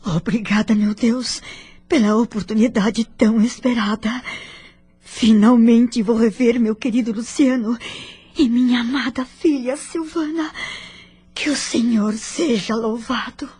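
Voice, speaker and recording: A Brazilian woman playing an emotional, devout daughter in a spiritist audio drama, tender and eager, in a husky voice. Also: a little high, fairly even intonation, measured pace, dark tone. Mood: grateful.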